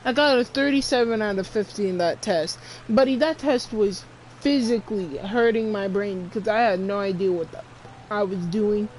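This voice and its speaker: Casting: female game streamer, mid-pitched voice, casual slangy grumbling, noticeable background noise. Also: normal speed, darkish tone, poor audio. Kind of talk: casual gameplay chat.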